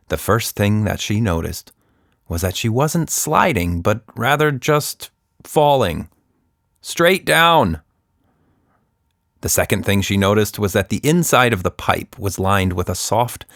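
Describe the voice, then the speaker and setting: A man's voice: lowish voice, vocal fry, a bit bright, dry recording, studio quality. A middle-aged man hosting and telling a children's story in a playful, conversational manner. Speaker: podcast host and storyteller.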